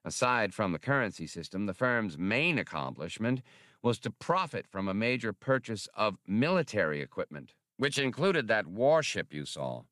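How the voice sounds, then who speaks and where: A man's voice: fairly low pitch, sing-song, normal speed, fairly bright tone, no echo, clean studio sound. A man voicing a thin, solemn alien scholar in an audiobook, speaking formally and earnestly. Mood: solemn, explaining. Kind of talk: audiobook character reading.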